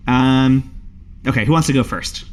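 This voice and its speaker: A man's voice: medium pitch, normal speed. A man acts as game master for a tabletop roleplaying game. His voice sits in the middle range, and he describes things in a casual, improvised way.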